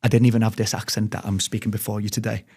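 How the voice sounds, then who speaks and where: A man's voice: lowish voice, rapid-fire, creaky, slightly rough. Chatty man with a light Scouse accent. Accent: light Liverpool (Scouse). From England, raised in Spain. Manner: chatty, animated storyteller. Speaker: guest. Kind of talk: casual interview chat.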